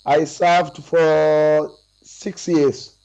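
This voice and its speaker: A man in his forties with a Gambian accent gives testimony over a video call, answering in a formal, deliberate way. His voice sits a little high for a man. The sound is dull and muffled, with a low mains hum behind it. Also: flat delivery, darkish tone, clear, resonant tone. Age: 40s. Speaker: witness.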